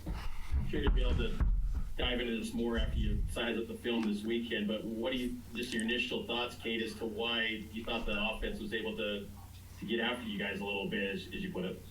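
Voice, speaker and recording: A man's voice: lowish voice, level tone, brisk, a bit bright. A man working as a reporter, asking a press question from off the microphone in an echoey space. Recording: echoey, noisy, off-mic media session.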